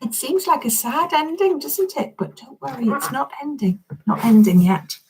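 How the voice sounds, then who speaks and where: A woman's voice: high voice, sing-song, normal speed, a little mellow, a little hiss or hum. A woman reading a children's story expressively, speaking directly and encouragingly to young listeners.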